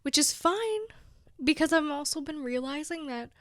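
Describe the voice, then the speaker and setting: A woman's voice: high voice, expressive, normal speed, no room sound, studio quality. A woman in her twenties chatting casually as a vlogger, giggling often and filling her talk with "like.". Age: 20s. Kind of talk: casual chat to camera.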